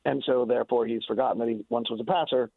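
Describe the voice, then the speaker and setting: A man's voice: mid-range voice, steady tone, fast talker, creaky, slightly rough, dry recording. A man giving unscripted sports analysis as a radio guest, emphatic and often restarting his phrases. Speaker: sports analyst and radio play-by-play announcer interviewed on a show. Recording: tinny, dry phone-like radio line.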